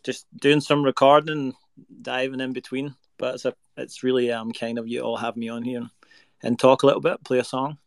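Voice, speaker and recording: Earnest Scottish man with gravelly voice. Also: medium pitch, flat delivery, a little fast, no room sound. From Scotland. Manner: earnest, reflective, rambling with fillers. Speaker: guest singer-songwriter. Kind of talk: casual interview chat. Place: home recording space in Nashville.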